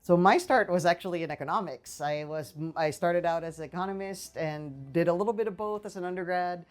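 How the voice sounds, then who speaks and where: Low-voiced woman professor from the Philippines. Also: brisk, faint music behind. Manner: thoughtful, with frequent fillers and restarts. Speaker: political science professor. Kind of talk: faculty interview answers. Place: small office with bookshelves, indoors. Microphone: lapel mic.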